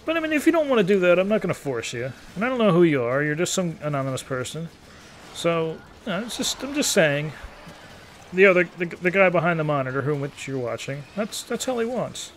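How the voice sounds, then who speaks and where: A man's voice: a little high, very animated, fairly quick, a little hiss or hum. A man in his thirties giving casual, rambling gameplay commentary as a YouTuber, in an easygoing, take-it-or-leave-it manner. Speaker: gaming YouTuber.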